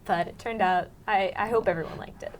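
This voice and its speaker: Teenage girl, mid-pitched voice, casual chat, informal, giggly. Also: fairly even intonation, a little fast, a little hiss or hum. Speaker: student actress being interviewed.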